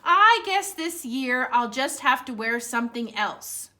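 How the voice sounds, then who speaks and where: A woman reading as a fussy old man from a children's storybook, exasperated and grumbling. The voice is high and swoops expressively, with exaggerated emphasis. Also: expressive, a little slow, pushed and bright, full character voice. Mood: resigned.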